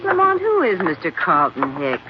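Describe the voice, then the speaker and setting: A woman's voice: fairly low pitch, wildly swooping pitch, normal speed. An actress playing a teasing, playful lady companion to a detective in an old radio drama. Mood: teasing, light. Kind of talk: 1940s radio acting. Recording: very noisy, muffled 1940s radio recording.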